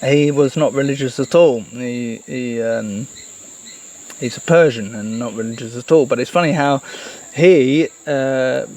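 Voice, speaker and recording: A person giving a casual, reflective monologue, speaking earnestly and haltingly with repeated words. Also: medium pitch, darkish tone. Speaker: host of a solo talk. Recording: noisy, with chirping insects behind.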